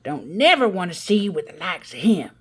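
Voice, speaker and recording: A woman's voice: on the low side, sing-song, fairly quick, husky. A woman voicing a feisty elderly great-aunt who spins folksy tall tales in a storybook read-aloud. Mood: indignant, boastful. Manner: folksy, crowing, theatrical drawl.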